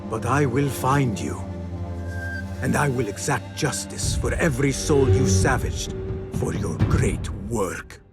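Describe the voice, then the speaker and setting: A man's voice: medium pitch, expressive, takes it slowly. A man playing a young, earnest assassin in a cinematic video game, with music behind him. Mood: grave, vengeful. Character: young vengeful assassin, stealthy, earnest and polite.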